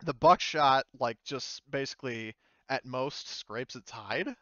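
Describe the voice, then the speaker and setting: Clear-voiced man running a tabletop game. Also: mid-range voice, measured pace, sharp tone, no echo, lo-fi sound. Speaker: game master. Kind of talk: casual tabletop game narration and rules talk.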